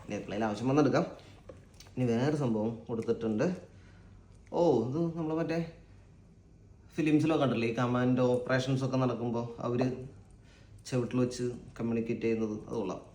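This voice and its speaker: A man's voice: mid-range voice, fairly even intonation, a little fast, pauses often, warm tone. A Malayali man hosting a casual unboxing vlog, informal and a little hesitant as he thinks aloud. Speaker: vlog host. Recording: echoey room with faint background noise.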